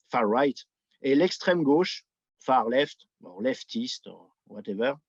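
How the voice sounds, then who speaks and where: Dull-sounding recording of a halting Frenchman. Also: on the high side, dry recording. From France. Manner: halting, searching for words in a second language. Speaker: interview guest, editor. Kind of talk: conversational political explanation. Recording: dull, band-limited audio.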